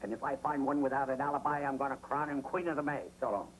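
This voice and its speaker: Casting: man as exasperated older American cop, sardonic bluster, mid-pitched, vintage TV crime drama. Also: fairly even intonation, fast talker, lightly acted. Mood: sardonic, determined. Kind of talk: 1950s television crime drama acting. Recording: noisy vintage 1950s TV soundtrack.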